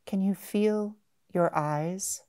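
A woman guiding a meditation in a gentle, measured manner, with long pauses between short phrases. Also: medium pitch. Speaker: mindfulness meditation guide. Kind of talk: guided body-scan meditation.

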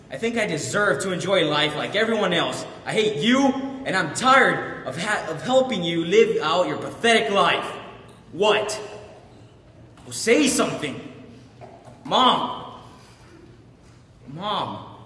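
A man's voice: high voice, bright, forceful tone. A young man acting a resentful son worn down by his dependent mother, in a dramatic monologue. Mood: angry. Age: young adult. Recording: roomy echoing hall, distant mic, faint noise.

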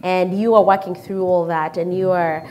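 A woman's voice: lowish voice, fairly even intonation, normal speed, darkish tone, slight background noise, low-quality recording. Encouraging woman hosting. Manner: encouraging, repeats phrases for emphasis. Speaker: talk show host. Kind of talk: conversational interview, reading viewer messages. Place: indoor television studio. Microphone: lapel mic.

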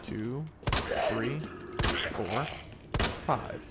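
A man's voice: medium pitch, drawn-out words, heavy background noise. A man giving casual let's play commentary, muttering to himself in a wry, joking way and swearing now and then. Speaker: video game streamer.

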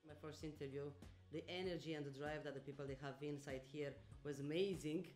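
A woman's voice: sits low, fairly even intonation, fairly quick, darkish tone, roomy sound, soft background music. A young woman from Romania, speaking enthusiastically about her job in an office interview. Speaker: team executive. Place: office, seated indoors.

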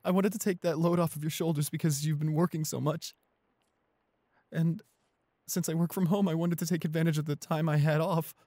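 A man playing a shy, anxious, apologetic young boyfriend in an audio roleplay, halting and repeating himself in a breathy, husky voice. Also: on the high side, fairly even intonation, dark tone, no echo, studio quality. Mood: subdued, nervous. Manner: halting, stammering, repeating pleas. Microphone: close studio microphone, dry.

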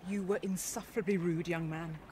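An actress playing a dignified, reproving widow in radio drama, curt and formal. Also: female voice, lowish voice, fairly even intonation, fairly quick, background noise, close to an everyday voice. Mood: reproving, weary. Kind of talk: British radio drama acting.

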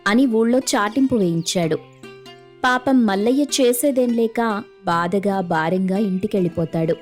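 A woman narrating a scripted animated moral story as the storyteller. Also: medium pitch, measured pace. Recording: music under the voice.

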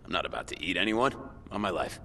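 An actor playing a weary, irritable firefighter who survived a crash in a video game. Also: male voice, low-pitched, animated, fast talker, sharp tone, heavily acted. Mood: pleading. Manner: swearing, exasperated. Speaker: player character in a zombie game. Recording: faint background noise and game ambience.

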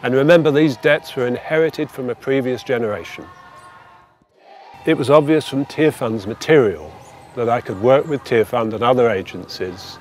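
A man's voice: medium pitch, moderate pace, a little mellow, slight background noise. Earnest older man, retired campaigner. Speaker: debt campaigner. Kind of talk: documentary interview.

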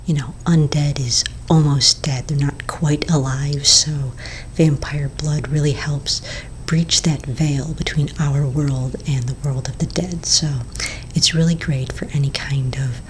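A woman in her thirties whispers right into a close mic, her voice low and breathy, with the soft, unhurried pace and gentle "um"s of an ASMR roleplay. She plays a friendly shopkeeper talking a customer through her wares. A faint low rumble sits under the whisper in a small indoor room. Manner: soft, slow whisper with hesitant fillers. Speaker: ASMR creator playing a potion shopkeeper. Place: small indoor room before shelves of bottles. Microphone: close studio mic in front.

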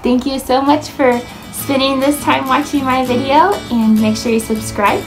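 Chatty young American woman, higher-pitched voice. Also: steady tone, normal speed, over music, low-quality recording. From Indiana, United States. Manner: chatty, informal, uses "like" often. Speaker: vlogger. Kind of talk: casual chat to camera. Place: small room indoors, plain wall. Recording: clearly audible background room noise.

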